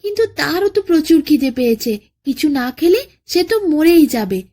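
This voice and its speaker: High-pitched, very animated woman storyteller. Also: moderate pace. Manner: expressive, dramatic storytelling. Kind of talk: scripted narration of children's bedtime folk tale.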